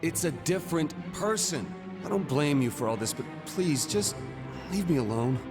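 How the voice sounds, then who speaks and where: A man's voice: a little high, expressive, moderate pace, close to an everyday voice. An actor playing a flustered, awkward young man, the game's hero, who stammers often. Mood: defensive. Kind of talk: video game cutscene voice acting. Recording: game audio with background music.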